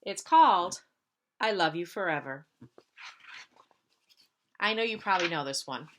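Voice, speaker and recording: A woman's voice: lowish voice, animated, a little slow, fairly bright tone, a little hiss or hum. A woman reading a picture book aloud to young pupils as a member of school staff, lingering on repeated phrases. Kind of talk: children's storybook read-aloud.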